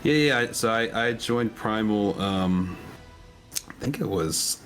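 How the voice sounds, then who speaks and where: Guest, man in his twenties, deep low voice, casual and rambling. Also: slow, over music. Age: 20s. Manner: easygoing, rambling with many fillers and restarts. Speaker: podcast guest, content creator. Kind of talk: casual chat.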